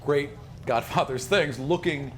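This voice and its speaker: A man's voice: fairly high pitch, unhurried, a bit bright. A man narrating a tabletop game as game master in a conversational, emphatic way. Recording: echoey room with background noise.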